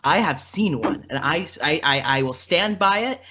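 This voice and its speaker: A man's voice: a little high, animated, normal speed, pushed and bright, husky. A man from the American Midwest, a podcast guest telling stories casually in an earnest, emphatic way that repeats phrases. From Midwestern United States. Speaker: podcast guest, audio drama creator. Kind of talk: casual anecdotal storytelling. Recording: dull lo-fi audio.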